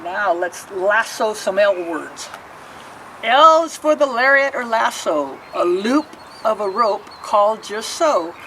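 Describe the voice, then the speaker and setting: A woman reading a rhyming picture book aloud, pausing to explain the pictures. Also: mid-range voice, expressive, fairly bright tone, distant mic, a little hiss or hum, rough recording. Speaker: storybook reader.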